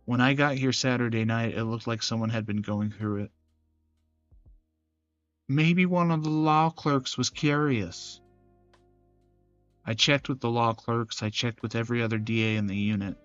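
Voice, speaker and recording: A man voices scripted visual novel drama in a serious, measured way. His pitch sits in the middle. The recording is dry and slightly dull, with music underneath. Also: a bit bright, no echo. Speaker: gaming channel creator voicing every character. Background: game music.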